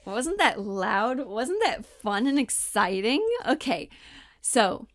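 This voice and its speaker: A woman in her twenties giving casual, informal acting instruction in an animated manner. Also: fairly high pitch, slow, dry recording, studio quality. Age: 20s. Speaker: acting coach.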